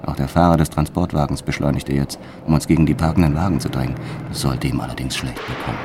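An actor playing a hardened, world-weary gangster who recounts his crimes gravely and deliberately in a radio drama, with a gravelly voice. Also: rumbling low, noisy background. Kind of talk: first-person radio drama narration with acted dialogue.

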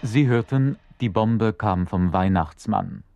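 A man's voice: fairly low pitch, slow pace, gravelly, dull audio, over loud music. A man working as a radio announcer, formally reading out scripted closing credits.

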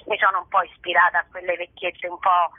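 A woman's voice: lowish voice, level tone, brisk, dry recording. A woman chatting spontaneously in an interview as an actress, digressing and searching for words, speaking over the phone. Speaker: actress and artistic director interviewed about her show. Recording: thin, band-limited phone line.

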